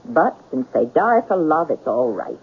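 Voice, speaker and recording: An actress playing a romantic yet practical woman in a mystery radio drama, coaxing and questioning in a husky voice. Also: deep voice, big pitch swings, unhurried, slight background noise, full character voice. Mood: coaxing, curious. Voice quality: husky, breathy. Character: romantic, practical, gently prodding female listener of writer's tale. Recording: narrow tinny radio broadcast.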